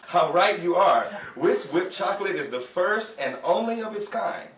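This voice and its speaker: A man playing an eager, smooth-selling 1930s radio advertisement announcer. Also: sits high, animated, moderate pace, fairly bright tone, muffled recording. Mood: salesy, eager. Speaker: commercial announcer. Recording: echoey stage, distant mic, audience hiss.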